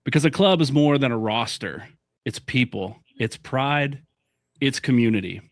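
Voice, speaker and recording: A man's voice: mid-range voice, animated, moderate pace, dry recording, clean studio sound. A man hosting a soccer fan podcast, upbeat and talkative, moving from a scripted intro to friendly chat. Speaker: podcast host.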